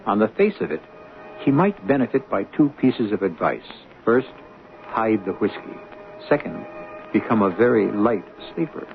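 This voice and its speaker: A low-voiced man narrates a vintage radio mystery as its host. He is measured, dramatic and a little wry, with a gravelly edge. The broadcast sound is narrow, with faint music. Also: big pitch swings.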